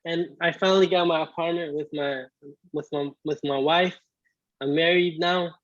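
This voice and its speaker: A man in his twenties, born in Iraq with Arabic as his first language, telling his story as a guest in a casual interview, halting with many restarts. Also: on the high side, fairly even intonation, no room sound, highs cut off. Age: 20s. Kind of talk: casual video-call interview.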